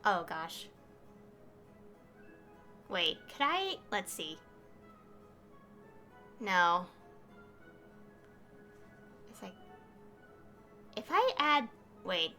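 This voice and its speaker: Bright-voiced woman streaming a game. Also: mid-range voice, sing-song, drawn-out words, long pauses, faint music behind. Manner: thinking aloud. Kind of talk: casual live gameplay commentary.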